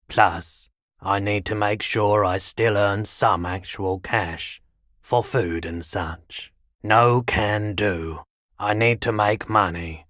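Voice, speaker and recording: Slow-talking, weary pawnshop keeper. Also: male voice, low-pitched, a bit bright, no echo, studio quality. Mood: weary, resigned, then firm, matter-of-fact. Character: haggard, low-key pawnshop keeper, weary and indebted. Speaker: shopkeeper. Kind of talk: video game character dialogue.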